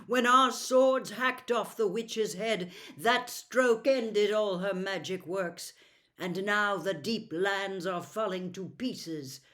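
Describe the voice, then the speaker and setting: A woman's voice: medium pitch, slow, fairly bright tone. A chivalrous young prince from a storybook, played by a woman. The speech is courtly and formal, projected firmly as if proclaiming. The manner is valiant and noble throughout. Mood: triumphant. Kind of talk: audiobook character voice.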